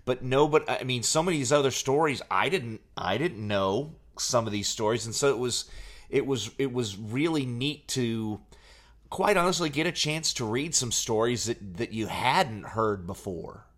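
A man co-hosting a podcast in casual chat, with a halting way of talking that repeats words. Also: medium pitch, a bit bright. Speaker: podcast co-host, Elvis tribute artist.

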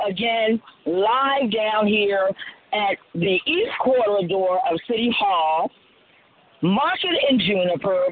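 A man from Colombia reporting live from a city street, speaking in a casual, rambling way with many fillers. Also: high-pitched. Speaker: livestream street interviewer. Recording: tinny phone-like livestream audio.

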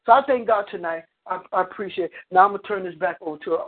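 A man's voice: high-pitched, rapid-fire. A man, the guest preacher, telling a story in his sermon and breaking it up with "come on, amen" as he goes. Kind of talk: preaching by phone conference. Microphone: phone. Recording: tinny phone line.

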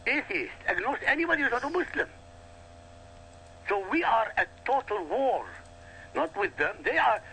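Emphatic Arabic-native man, bright voice. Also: high-pitched, gravelly, slight background noise, low-quality recording. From Iraq. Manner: forceful, emphatic, repetitive lecturing. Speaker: guest commentator. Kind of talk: heated radio talk.

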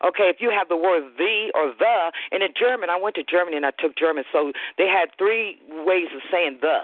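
A woman's voice: lowish voice, a little fast, dry recording. A woman calling into a phone-line talk show, agreeable and explaining at length with many fillers. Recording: tinny dry phone line.

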